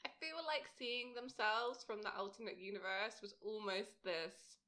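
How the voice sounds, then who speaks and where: A woman co-host speaks at a medium pitch in an informal podcast discussion. Her delivery is that of casual chat about a TV series. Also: moderate pace, pushed and bright.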